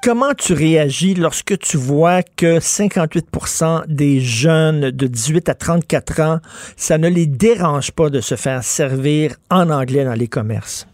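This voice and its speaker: A man with a Quebec French accent hosting a radio talk show, quick and emphatic, repeating words as he builds his point. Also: a little high, a little mellow, dry recording, clean studio sound. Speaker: radio host.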